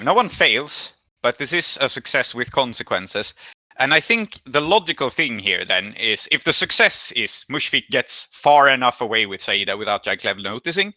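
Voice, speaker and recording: Man, very bright forceful voice, measured game master rulings, dry close sound. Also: mid-range voice, dry recording, highs cut off. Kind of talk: tabletop game narration and rulings.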